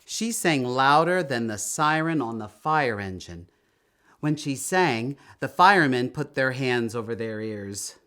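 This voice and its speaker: A man reading a children's story aloud in an expressive, emphatic storytelling manner, drawing out words. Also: medium pitch, a little slow. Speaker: storytime reader.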